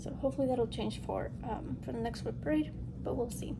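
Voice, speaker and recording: A woman's voice: on the high side, brisk, gravelly. A woman with a congested, nasal voice from a lingering illness, chatting casually and a little ramblingly to viewers as she hosts a craft show-and-tell video. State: getting over a respiratory illness. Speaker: host of a cross-stitch video channel. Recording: noticeable background noise.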